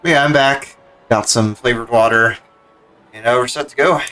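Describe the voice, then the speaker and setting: A male streamer giving relaxed, rambling commentary as he plays a video game. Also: mid-range voice, normal speed, faint music behind. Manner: relaxed, rambling, many fillers. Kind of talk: casual let's-play commentary.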